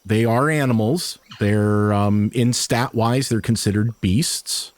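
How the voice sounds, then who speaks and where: A man's voice: lowish voice, takes it slowly. A man talking casually and explaining a point in a podcast discussion.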